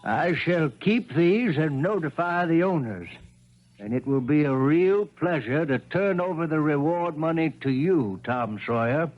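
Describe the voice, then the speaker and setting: Formal, stately radio-drama judge. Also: male voice, a little high. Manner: formal, measured pronouncements. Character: formal, stately male judge presiding over a court. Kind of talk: 1970s radio drama acting. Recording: dull vintage 1970s radio recording.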